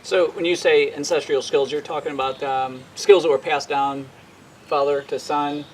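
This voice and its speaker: A man hosting an interview, asking casual questions in a halting way with many fillers and repeated words. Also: a little high, fairly even intonation, slight background noise, low-quality recording. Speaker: interviewer, host.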